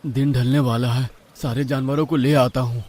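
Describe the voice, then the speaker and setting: A man's voice: medium pitch, steady tone, normal speed, darkish tone, close to an everyday voice. A man playing a poor, honest village herdsman, pleading and deferential, in a horror story. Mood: musing, puzzled. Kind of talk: Hindi horror story character dialogue.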